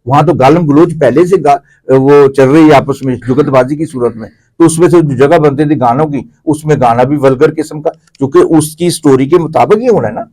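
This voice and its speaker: A man's voice: on the high side, few pauses, darkish tone, poor audio. A man with a Pakistani accent explaining things conversationally as an interview guest, piling up examples and repetitions.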